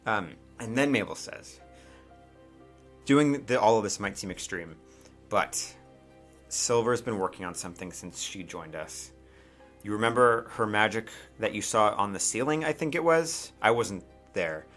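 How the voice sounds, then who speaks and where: A man with a fairly low, forceful voice serves as game master for a tabletop game. He improvises his narration in an informal, halting way, often breaking off into asides. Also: expressive, faint music behind.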